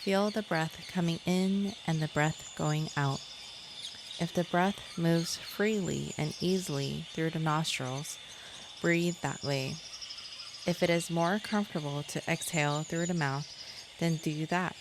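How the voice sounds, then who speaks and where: A woman guiding a yoga nidra relaxation in a measured, soothing manner, as a scripted meditation. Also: low-pitched, fairly even intonation. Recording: clear insect and nature noise behind.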